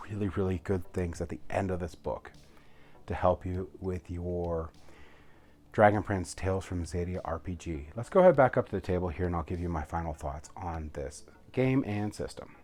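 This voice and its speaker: A man's voice: low-pitched, moderate pace, darkish tone. A man giving a casual, rambling, enthusiastic review as he explains a game rulebook. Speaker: tabletop game reviewer, host.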